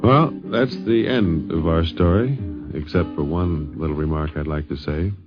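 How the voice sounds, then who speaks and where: A man's voice: a bass voice, measured pace, muffled recording, loud music behind the voice. A man hosting and narrating a 1950s radio documentary, on a vintage broadcast recording.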